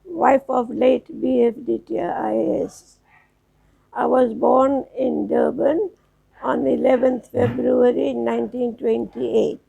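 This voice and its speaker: A woman in her nineties from South Africa, reminiscing slowly as an interview guest, with halting restarts. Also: a little high, mellow, muffled recording. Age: 90s. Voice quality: gravelly, husky. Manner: slow, halting storytelling with restarts.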